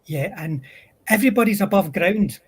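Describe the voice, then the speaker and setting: A man on a discussion panel explaining military history in a thoughtful, halting way, stretching out words. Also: high-pitched, lively intonation, slightly rough. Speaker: panel speaker.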